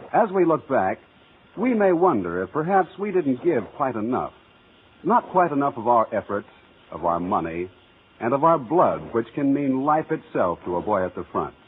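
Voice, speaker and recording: An American man making formal, measured, scripted announcements in the style of 1940s radio. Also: fairly low pitch, phone-quality sound, a little hiss or hum. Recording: thin 1940s radio recording, faint music.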